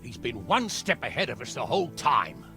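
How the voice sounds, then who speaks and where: A man's voice: mid-range voice, wildly swooping pitch, moderate pace, creaky, over music. An actor playing a skeptical male noble who questions the plan. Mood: wary.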